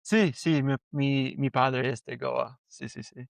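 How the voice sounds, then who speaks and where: A man speaking learner Spanish at an unhurried pace. His voice is clear and clean, and the sound is dry and close. He talks hesitantly, restarts often and fills gaps with quick yeses. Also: medium pitch, steady tone, no echo. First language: English. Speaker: podcast co-host. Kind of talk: casual conversation in learner Spanish.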